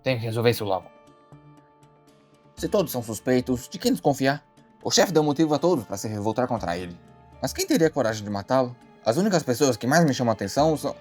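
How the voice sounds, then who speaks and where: A man acts out an earnest detective from an animated mystery. He talks quickly in a theatrical, brooding way with slangy asides, and music sits under his voice. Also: mid-range voice. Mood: grave, deducing. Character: earnest amateur detective, brooding and easily startled. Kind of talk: dramatic monologue, animated short voice acting.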